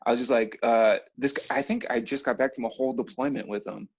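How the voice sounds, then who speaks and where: A man's voice: medium pitch, steady tone, fast. A man chatting casually as a guest, heard over a dull, lo-fi recording. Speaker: interview guest, military veteran. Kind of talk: casual chat.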